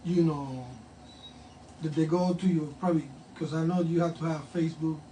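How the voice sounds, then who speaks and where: Older man, hyped host, high voice, distant mic, faint ambient noise. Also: steady tone, moderate pace, warm tone. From Puerto Rico. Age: has a grandson. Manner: hyped, talkative, switches into Spanish. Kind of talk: casual promo interview.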